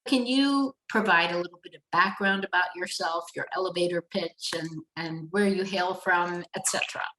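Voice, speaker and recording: A woman hosting a podcast interview, speaking in a friendly, measured way. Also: on the low side, bright, forceful tone, roomy sound, rough recording. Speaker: podcast host.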